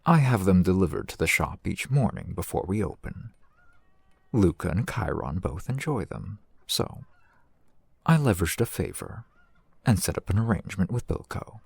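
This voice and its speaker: A man playing a courteous, shrewd fantasy shopkeeper in an ASMR roleplay, speaking in formal, measured, polite phrases. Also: sits low, very animated, gravelly, husky, dry recording, exaggerated voice. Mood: friendly, courteous. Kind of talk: ASMR roleplay, scripted character acting.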